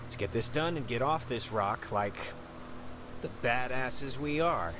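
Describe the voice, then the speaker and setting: A man's voice: mid-range voice, unhurried, background noise, close to an everyday voice. A man playing a laid-back teenage stepbrother in a video game, casual and dryly joking. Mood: wry, easygoing. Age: young adult. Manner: casual, wry. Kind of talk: naturalistic video game dialogue. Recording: music behind the voice.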